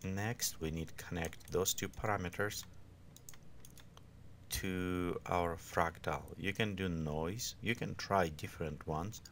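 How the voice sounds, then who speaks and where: Male tutorial instructor, non-native English, creaky voice, unscripted and halting, recorded with background noise and keyboard clicks. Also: low-pitched, fairly bright tone. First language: not English. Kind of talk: informal step-by-step screen tutorial.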